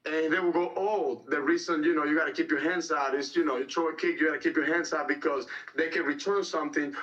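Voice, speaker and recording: Man, fighter guest, blunt, rambling, swears, bright edgy tone, dull distant recording. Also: fairly high pitch, fairly even intonation, fast talker. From Cuba. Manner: blunt, rambling, repeats words, swears freely. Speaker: mixed martial arts fighter, podcast guest. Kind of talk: casual storytelling interview. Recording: dull lo-fi sound, distant from mic.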